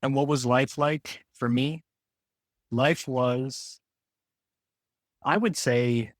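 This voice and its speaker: American guy in his 40s, lowish voice, dry sound, chatting thoughtfully on a podcast, kinda halting. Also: dry recording. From Detroit area, Michigan, United States. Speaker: podcast guest. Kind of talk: casual interview conversation.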